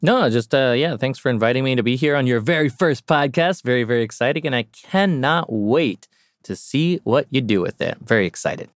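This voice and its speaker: A man's voice: mid-range voice, animated, brisk, no room sound, studio quality. A man who teaches and plays music, talking casually as a podcast guest in a reflective, encouraging way. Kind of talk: casual interview talk.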